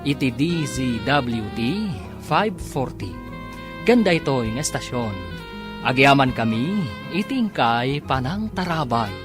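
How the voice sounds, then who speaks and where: A man's voice: medium pitch, very animated, takes it slowly. A man with a Filipino accent delivering a dramatic scripted announcement for a radio drama. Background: loud music.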